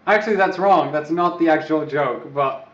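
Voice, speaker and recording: A man's voice: on the high side, normal speed, a bit bright, far from the mic. A man who is not a native English speaker, rambling and joking on a live stream to his viewers. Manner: rambling, joking, talks to himself. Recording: echoey room, away from the mic, dull lo-fi sound.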